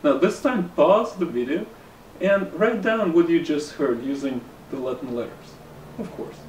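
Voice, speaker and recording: Man, Russian accent, language teacher, measured scripted lesson, roomy echo, a bit far from the mic. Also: a little high, animated, a little mellow, slight background noise. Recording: roomy room echo, somewhat distant mic, faint ambience.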